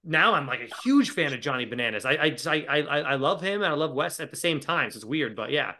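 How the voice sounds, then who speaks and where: A man's voice: fairly high pitch, animated, fairly quick, bright, forceful tone. A man co-hosting a casual aftershow chat over a video call, joking and rambling with lots of "like". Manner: joking, rambling with many fillers. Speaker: co-host.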